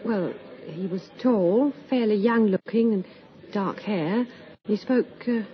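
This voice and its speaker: A timid young maid acted by a woman in a vintage radio play. She is polite and hesitant, at a medium pitch on a muffled recording. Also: takes it slowly, lightly acted. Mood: timid, respectful. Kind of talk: vintage radio drama acting.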